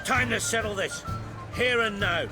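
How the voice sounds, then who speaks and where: A man's voice: high voice, normal speed, close to an everyday voice. An actor playing a stern, proud male chieftain who speaks bluntly and commandingly in a game cutscene. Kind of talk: video game cutscene acting. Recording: game cutscene with loud score. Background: loud orchestral music.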